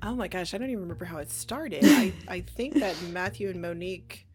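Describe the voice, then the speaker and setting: A woman's voice: lowish voice, animated, a little fast, music in the background. An American woman talking candidly and informally as a podcast interview guest. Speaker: podcast guest, audio drama creator. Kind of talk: casual interview chat.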